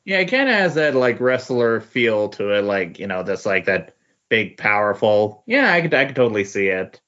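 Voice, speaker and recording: A man chatting casually as a podcast host, with a halting, hesitant delivery. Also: on the low side, very animated, a bit bright. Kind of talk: casual chat. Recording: muffled lo-fi audio.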